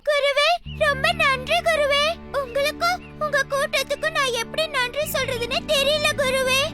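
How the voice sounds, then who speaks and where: A voice actor playing a tiny, playful, cheeky animal youngster in a squeaky childlike cartoon voice. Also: fairly even intonation, normal speed. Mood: grateful. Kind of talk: animated children's story dialogue. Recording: loud music under the voice.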